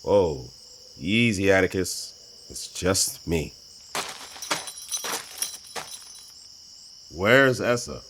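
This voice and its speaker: A man playing a gruff, skeptical, argumentative ally in a post-apocalyptic audio drama. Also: deep voice, expressive, slow. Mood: wary. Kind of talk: audio drama dialogue acting. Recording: loud ambient noise behind.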